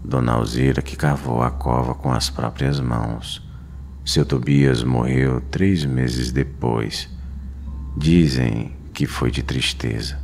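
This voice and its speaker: An extremely low, gravelly male voice with a Brazilian accent narrates a horror tale. He is solemn and slow, weighting short phrases for suspense over clear background music. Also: fairly even intonation, darkish tone, a little hiss or hum. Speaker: storyteller. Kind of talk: dramatic scripted horror narration.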